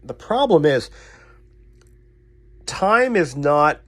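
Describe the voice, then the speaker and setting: A man's voice: a little high, sing-song, drawn-out words, a bit bright. Man explaining casually, blunt and informal. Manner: informal, blunt, self-deprecating. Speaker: radio repair technician and channel host. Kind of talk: casual explanatory vlog talk.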